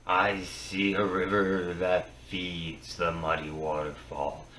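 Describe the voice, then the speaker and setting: Male storyteller for dramatic verse: deep, grave, theatrical, halting delivery, recorded at a distance from the mic. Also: flat delivery. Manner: solemn and theatrical, with halting phrases and swings from hushed to booming. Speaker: storyteller reciting Norse myth. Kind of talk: dramatic poetry reading. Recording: roomy, distant mic with faint noise.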